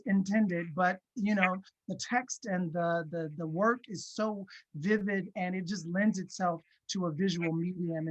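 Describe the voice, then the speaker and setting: A man's voice: high-pitched, fairly bright tone, highs cut off. An American man, a film director, answering interview questions in a conversational, anecdotal way.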